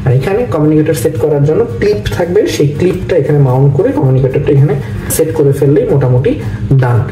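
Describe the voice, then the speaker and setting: A man's voice: a little high, fast. A man with a Bangladeshi accent giving an explanatory review as a vlog host, mixing English phrases into his speech. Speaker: motovlog host and motorcycle rider. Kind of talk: explanatory product review talk to camera. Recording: echoey room, distant mic, music underneath. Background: background music.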